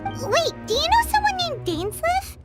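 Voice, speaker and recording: A squeaky, childlike fairy companion. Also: female voice, sing-song, measured pace, music in the background, full character voice. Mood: alarmed, curious. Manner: bubbly, exclamatory, refers to self in third person. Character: tiny excitable female fairy companion, childlike and chatty. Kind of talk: video game character acting.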